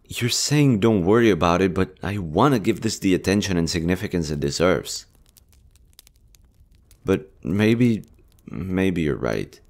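Guy acting as a smitten army general, really low voice, gentle and earnest, romance roleplay audio. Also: animated, a little slow. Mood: earnest, reassuring. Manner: tender, earnest, hesitant phrasing. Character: smitten male army general, gentle, strategic, tender lover. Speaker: voice actor.

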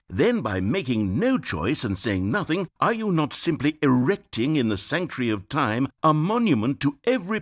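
An actor playing a pompous, self-absorbed game narrator who rambles in a grand, wordy way. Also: male voice, mid-range voice. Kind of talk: scripted video game narration. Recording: dry studio voice-over.

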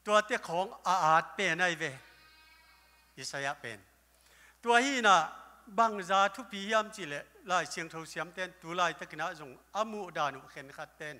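An older man preaching a sermon at a lectern with a handheld microphone, breaking into loud exclamations now and then. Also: high voice, moderate pace. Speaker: pastor. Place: church hall stage. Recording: live church hall with long reverb.

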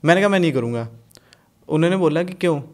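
Casting: young guy from Punjab, slightly high voice, blunt, swears a lot, lively storyteller. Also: fast talker. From Punjab, India. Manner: blunt, animated, swears freely, mixes English in. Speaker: podcast guest, rapper. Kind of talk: casual podcast chat.

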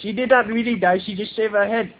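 A man's voice: very high voice, steady tone, a crowd in the background, voice pushed up. A man putting on a brash foreign carpet seller in broken English, making a prank phone call. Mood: pushy. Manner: insistent, rude, fast-talking hard sell. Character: pushy foreign male street trader, brash. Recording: tinny phone line.

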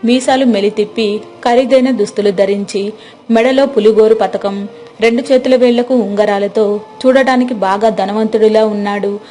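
A woman's voice: medium pitch, fairly even intonation, normal speed, mellow, slight background noise. A woman reading a scripted story as a storyteller. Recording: music behind the voice. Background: background music.

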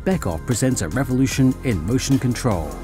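A man's voice: mid-range voice, brisk, music in the background. A man giving a polished, scripted voice-over narration for a trade show report. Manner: even, polished promotional delivery. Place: studio voice-over.

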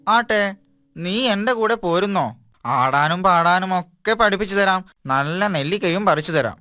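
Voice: mid-range voice, expressive, normal speed, pushed and bright, no echo, studio quality, faint music behind. A performer voicing a mischievous, carefree young animal in a children's cartoon, who teases a friend. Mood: teasing. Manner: teasing, mocking.

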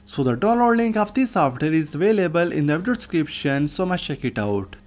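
A person narrating a scripted software tutorial as its host. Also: medium pitch, lively intonation, fairly quick, soft background music. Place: voice-over, recorded off screen.

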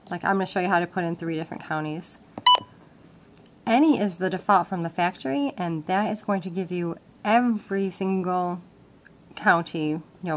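A woman giving an informal, step-by-step explanation in a casual demonstration. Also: on the low side. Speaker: instructor. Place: indoors at a wooden table at home. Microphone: handheld camera microphone. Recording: noisy handheld home-video audio with clicks.

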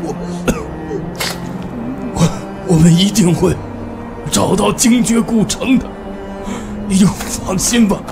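Actor playing a frail, creaky old professor. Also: male voice, high-pitched, takes it slowly, long pauses, music in the background, heavily acted. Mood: tearful. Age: older. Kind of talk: radio drama acting.

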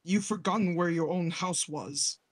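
A man playing a calm, matter-of-fact senior guild member in a fantasy game roleplay. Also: high-pitched, moderate pace, dry recording. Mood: dry.

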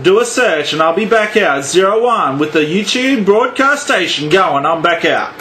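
A man's voice: high-pitched, lively intonation, moderate pace. A man working as a CB radio operator, giving showy, repetitive patter full of call signs and slang. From Australia. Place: indoors at a radio set. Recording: clearly noisy room beside a radio. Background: radio noise.

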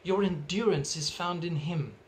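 A middle-aged South African pastor delivers an earnest home sermon, his voice sitting a little high for a man. He phrases things in measured, emphatic steps. A faint hum of room ambience sits under the webcam sound. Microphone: webcam at desk distance.

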